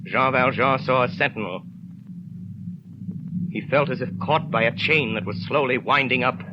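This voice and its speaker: A man giving dramatic, deliberately phrased narration for a 1930s radio drama. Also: medium pitch. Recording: dull, noisy 1930s radio recording.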